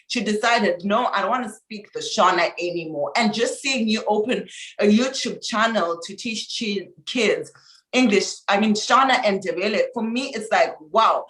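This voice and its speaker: Zimbabwean woman host, effusive and eager, mid pitch, roomy echo. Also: moderate pace, fairly bright tone. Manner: enthusiastic, effusive. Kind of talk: casual interview chat. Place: Australia. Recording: echoey room.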